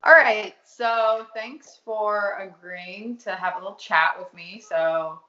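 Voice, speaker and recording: A woman's voice: medium pitch, slow pace, sharp tone, slight background noise. A woman hosting an interview, asking questions and affirming her guest with short replies. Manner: friendly, affirming with short backchannels. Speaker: interviewer, host. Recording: echoey, slightly dull.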